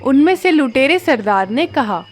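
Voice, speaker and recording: A woman narrating a cartoon story in a steady, clear storytelling manner. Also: sits high, a little slow, faint music behind.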